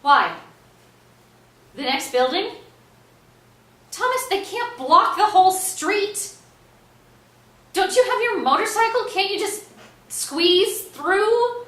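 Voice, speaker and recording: An actress playing an agitated woman playwright who pleads and exclaims haltingly in a staged play reading. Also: very high voice, lively intonation, bright, forceful tone, exaggerated voice. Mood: desperate. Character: agitated, anxious woman playwright, talking to herself. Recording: roomy stage, distant mic, faint noise.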